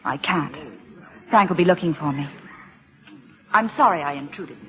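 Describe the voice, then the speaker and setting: An actress playing an urgent, scheming, sophisticated kept woman in an old-time radio drama, polished and insistently persuasive, with a husky voice. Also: sits low, expressive, moderate pace, a bit bright. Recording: old radio recording with long reverberation.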